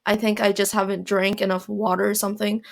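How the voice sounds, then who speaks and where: Hoarse teen girl, solo podcast host, raspy, rambling and self-deprecating, mid pitch. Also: level tone, quick pace. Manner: rambling, self-deprecating, many fillers and restarts. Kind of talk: casual solo podcast monologue. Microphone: home recording mic.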